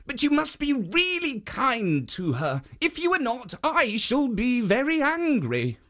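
Folk-tale statue guardian, gentle, earnest, pitched up, a narrator putting it on. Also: wildly swooping pitch, a little slow, heavily acted. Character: kindly, protective stone statue spirit.

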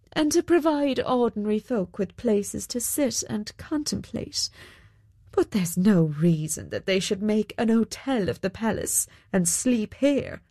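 A woman's voice: mid-range voice, dark tone, no room sound, studio quality. A woman voicing a pompous but obliging storybook king with a wordy, stately manner. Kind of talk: storybook character voice.

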